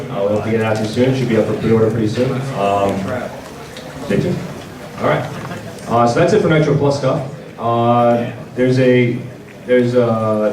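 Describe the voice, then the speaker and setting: A man giving an informal convention panel presentation in a hesitant, halting way. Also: medium pitch. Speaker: panel presenter for a game publisher. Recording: distant mic in echoey, noisy room.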